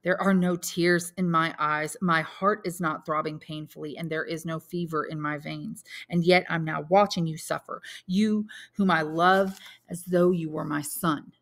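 A woman reading aloud and voicing a solemn, commanding male count who speaks in a measured, persuasive way. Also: low voice, steady tone, fairly bright tone.

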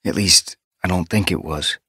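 A man voicing a male cat clan leader who speaks in a steady, gentle way. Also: sits low, moderate pace, slightly rough, dry recording, clean studio sound, heavily acted.

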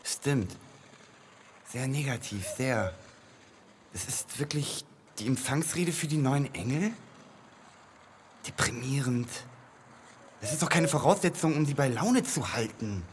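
A man's voice: mid-range voice, expressive, a little slow, pauses often, a little hiss or hum, natural voice. An actor playing a weary, touchy male boss of heaven in a radio play, grumbling as he talks. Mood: dismayed. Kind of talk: German radio play acting.

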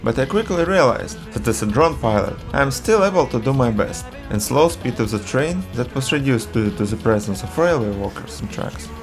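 A man's voice: medium pitch, animated, over music. Man narrating a travel film. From Russia. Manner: earnest, measured storytelling. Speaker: travel vlogger.

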